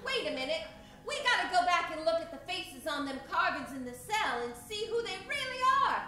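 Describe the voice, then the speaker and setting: A woman's voice: very high voice, very animated, brisk. A woman playing a feisty, folksy, suspicious character in a comic old-time radio mystery, with bold, ungrammatical talk. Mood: alarmed, curious. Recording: echoey stage, distant mic, clear background noise.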